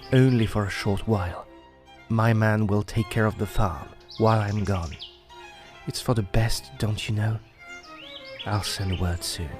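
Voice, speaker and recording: An actor playing a devoted young suitor who speaks tenderly and reassuringly in an old-style radio play. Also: male voice, lowish voice, steady tone, a little slow, lightly acted. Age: young adult. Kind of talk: 1940s style radio acting. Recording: radio play with violin music under.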